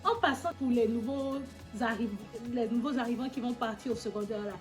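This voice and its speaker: A young woman with an Ivorian accent chats casually and animatedly, answering questions about her roots. Her voice sits fairly high. Faint music plays underneath. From Côte d'Ivoire. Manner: lively, animated, quick to tease. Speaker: vlogger.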